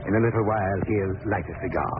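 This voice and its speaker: An actor playing a polite, measured, menacing criminal chemist in a vintage radio drama. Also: male voice, low voice, lightly acted. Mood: cool, gloating. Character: smooth, sinister male criminal chemist, coolly plotting murder. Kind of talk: 1940s radio acting. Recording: noisy, tinny vintage radio recording.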